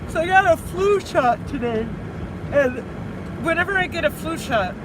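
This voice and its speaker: A woman's voice: extremely high pitch, expressive, normal speed. A woman chatting casually on her daily video blog, feeling feverish after a flu shot, talking while driving on a winter road. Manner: chatty, rambling, laughs at herself. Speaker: vlogger. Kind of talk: casual chat. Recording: far from the mic, loud road noise.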